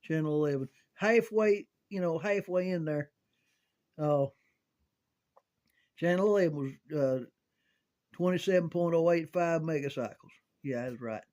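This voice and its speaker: An older man telling casual, rambling recollections in a halting, folksy way, as if thinking aloud. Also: on the high side, darkish tone. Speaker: amateur storyteller reminiscing about CB radio.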